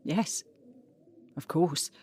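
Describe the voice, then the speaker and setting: A woman's voice: very slow, mellow. An actress playing a guarded, stubborn French woman singer in a wartime audio drama, terse and defiant.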